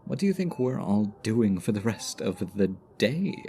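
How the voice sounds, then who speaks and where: A man's voice: fairly low pitch, big pitch swings, a little slow, warm tone, slight background noise. A man playing a cocky, teasing supervillain stuck as a hospital patient in an audio roleplay, talking with smug mock politeness. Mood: cocky, playful. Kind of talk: ASMR roleplay audio drama.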